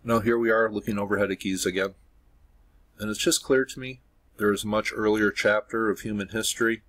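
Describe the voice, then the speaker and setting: A man with a fairly low voice talks over a screen recording, earnest and speculative, stacking up claims with "obviously". The delivery is a casual, unscripted voice-over made at a home desk. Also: steady tone, a little fast, a bit bright. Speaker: video host.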